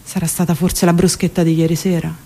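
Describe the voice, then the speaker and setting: A woman's voice: lowish voice, level tone, fairly quick, dark tone. A woman with a Roman Italian accent, performing a comic dramatic monologue in a theatrical, colloquial and exasperated way. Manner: theatrical, colloquial, exasperated, foul-mouthed delivery. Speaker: stage actor performing a monologue. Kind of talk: dramatic comic monologue, first-person narration.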